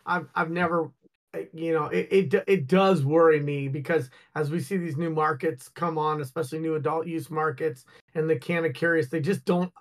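A man's voice: fairly high pitch, steady tone, normal speed. A man co-hosting a casual chat, joking and emphatic, with many repeated words.

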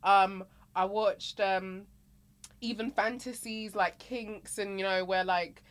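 A woman's voice: mid-range voice, takes it slowly. Candid British woman, podcast co-host. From Britain. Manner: candid, animated, laughs often. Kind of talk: casual chat.

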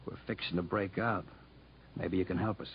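An actor playing a sly, menacing American convict ringleader, tough and slangy, in a 1950s radio drama. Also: male voice, low-pitched, steady tone, moderate pace, creaky, breathy edge, exaggerated voice. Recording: muffled vintage 1950s radio recording.

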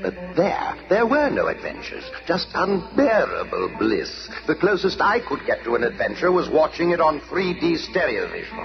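A man's voice: a little high, huge pitch swings, normal speed, vocal fry, few pauses, exaggerated voice. An actor playing a grandiose, boastful male villain posing as a pharaoh, in a 1960s cartoon. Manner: pompous, boastful monologue. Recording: dull lo-fi old broadcast with music.

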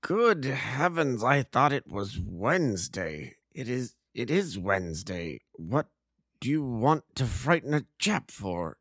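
A man reading a story aloud, voicing a befuddled, tearful man fogged by opium who talks in a slow, confused, pleading way. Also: medium pitch, very animated, a bit bright, dry recording, clean studio sound, full character voice. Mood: bewildered.

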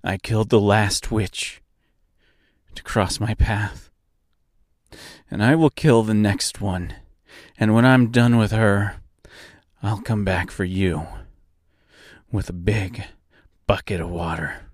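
A man voicing a dying, rusted tin man who taunts in a low voice and laughs between his words. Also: slow, long pauses, no room sound, studio quality. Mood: threatening.

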